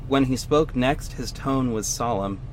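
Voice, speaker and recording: A man's voice: mid-range voice, unhurried, slight background noise, close to an everyday voice, rough recording. A man playing a vigilante hero, narrating his own story in scripted first person for an audio drama. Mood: moved, subdued.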